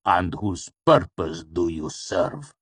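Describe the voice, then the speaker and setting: A man voicing a hooded dark lord in a fantasy story, cool and soft, speaking with patient, polite menace. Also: sits low, breathy edge, no echo, full character voice. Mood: slow patience. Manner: calm, polite, deliberate menace.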